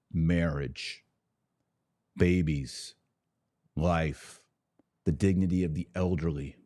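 A man hosting a podcast, giving opinionated religious commentary in an emphatic, declamatory manner with pauses between short phrases. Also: rumbling low, darkish tone, dry recording.

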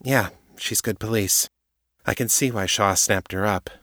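A man's voice: fairly low pitch, a little slow, fairly bright tone, dry recording, studio quality. A man voicing a blunt, loyal woman police detective with plain, direct speech. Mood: flat. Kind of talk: audiobook character voice.

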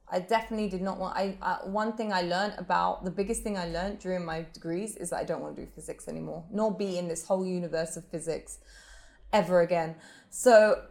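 A woman's voice: on the low side, creaky. Frank woman telling her story. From England. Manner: frank, emphatic, with frequent restarts. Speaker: professional belly dancer, interviewee. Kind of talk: candid personal storytelling in an interview.

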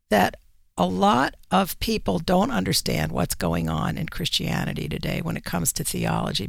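A woman speaking as an editor and radio guest, explaining things conversationally in deliberate, measured phrases. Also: on the low side, creaky, no echo, clean studio sound.

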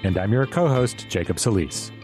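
A man's voice: fairly low pitch, animated, moderate pace, darkish tone, faint music behind. A man co-hosting a news podcast, eager and talkative as he sets up clips. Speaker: podcast co-host. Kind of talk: casual podcast chat.

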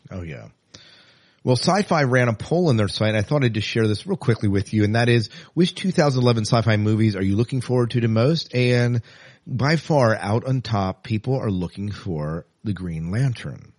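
A man's voice: fairly low pitch, animated, normal speed, darkish tone, no echo, clean studio sound. A man hosting a podcast, chatting casually about news items and steering the conversation. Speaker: podcast host.

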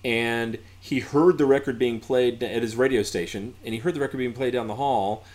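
A man's voice: mid-range voice, fairly even intonation, normal speed, darkish tone. A man with a Southern accent, a podcast guest chatting casually and telling rambling anecdotes. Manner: storytelling, rambling with restarts. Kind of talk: casual chat.

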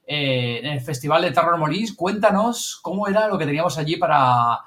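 Male host, Spain accent, higher-pitched voice, casual chat, questioning guests. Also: animated, a little fast, sharp tone. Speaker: podcast host.

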